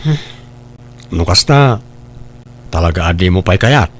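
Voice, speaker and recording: A man's voice: low-pitched, lively intonation, unhurried, slight background noise. A man with an Ilocano accent playing an impatient, pushy, complaining suitor in a comedy drama. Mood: pleading. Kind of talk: comedy radio-style drama acting.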